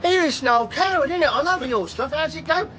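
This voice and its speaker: Brief: cheeky cockney hustler, very high sharp voice, swooping hawker's patter, faint street noise behind. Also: male voice, lively intonation, a little fast, exaggerated voice. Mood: cheeky, eager. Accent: London. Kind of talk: radio drama acting.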